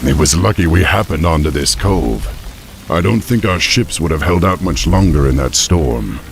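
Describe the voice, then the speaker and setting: A man playing a young, earnest orc war chief in a video game, gruff and commanding. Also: animated, normal speed, a little hiss or hum, heavily acted. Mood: resolute. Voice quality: deep, gravelly. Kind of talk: video game cinematic acting.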